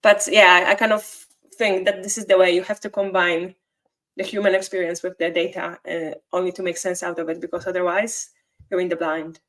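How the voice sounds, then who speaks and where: A woman's voice: on the low side, level tone, fairly quick, fairly bright tone, low-quality recording. Polish-accented woman explaining at length. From Poland. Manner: fluent, explanatory, with small grammar slips and restarts. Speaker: guest SEO consultant. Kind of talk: conversational interview.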